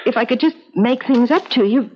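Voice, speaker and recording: A woman's voice: fairly high pitch, fairly quick, natural voice. An actress in a 1970s radio drama playing a flippant, party-loving young woman with a breezy, slangy way of talking. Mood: breezy, fretful. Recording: dull 1970s radio broadcast with music.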